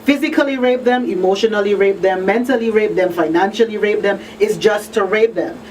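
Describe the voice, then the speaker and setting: A woman's voice: medium pitch, fairly quick, a little mellow. Emphatic woman hosting talk radio, slightly distant. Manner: conversational, emphatic repetition, frequent rhetorical check-ins. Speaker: radio talk show host. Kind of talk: live radio discussion. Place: radio talk show broadcast. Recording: distant mic with faint noise.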